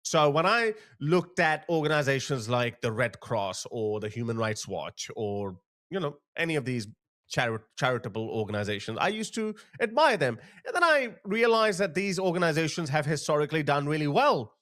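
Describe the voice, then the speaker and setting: A man's voice: a little high, very animated, moderate pace, fairly bright tone. A man from Pakistan hosting an opinionated commentary, talking indignantly with sarcastic asides and restarts. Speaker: commentary channel host.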